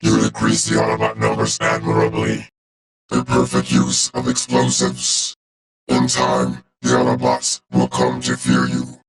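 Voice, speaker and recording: A man voicing a cold, loyal robot warrior for a video game, speaking in a clipped, formal, deadpan way through a robotic filter. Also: extremely low pitch, unhurried, gravelly, heavily acted, poor audio. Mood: cold approval. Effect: robotic vocoder filter.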